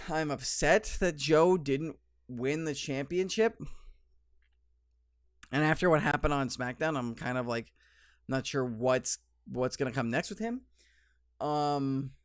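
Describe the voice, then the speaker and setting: A man's voice: medium pitch, clear, resonant tone, no echo, clean studio sound. A young man with a slight cold hosting a solo podcast, reviewing wrestling in a rambling, enthusiastic way with many fillers. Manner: rambling, lots of ums and restarts, enthusiastic.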